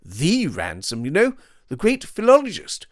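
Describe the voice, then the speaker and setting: A glib, mocking man of leisure, voiced by a male audiobook narrator who talks in a raised, airy register with big swings of pitch. His teasing is arch and sardonic, delivered close and dry with a gravelly edge underneath. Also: huge pitch swings, unhurried, no room sound. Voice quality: flippant, arch, sardonic banter.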